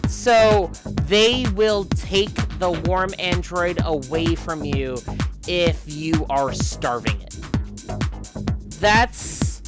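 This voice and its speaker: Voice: mid-range voice, drawn-out words. A streamer reading a visual novel aloud in narration, breaking off for casual, musing commentary. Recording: electronic music behind the voice. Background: electronic game music.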